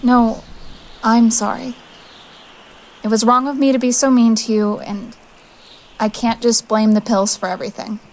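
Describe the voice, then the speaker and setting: A woman's voice: a little high, little pitch movement, measured pace, long pauses, a little mellow, poor audio. An actress playing a resolute young female exile in an audio drama, earnest and emotional, tender but firm. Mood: regretful.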